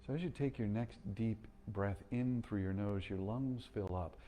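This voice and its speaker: A man guiding a slow breathing meditation, speaking calmly and gently with long pauses. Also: lowish voice, slight background noise. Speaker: meditation guide.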